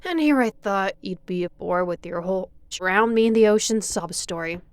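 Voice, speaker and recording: A woman playing a menacing, mocking lighthouse keeper who taunts her captive in a slow thriller audio roleplay, slipping into breathy whispers. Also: medium pitch, natural voice. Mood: scornful.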